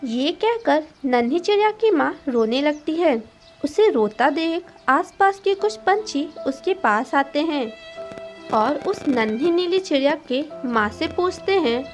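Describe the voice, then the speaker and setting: A woman's voice: sits high, animated, unhurried, faint music behind. A woman narrating a scripted moral story for children as a storyteller.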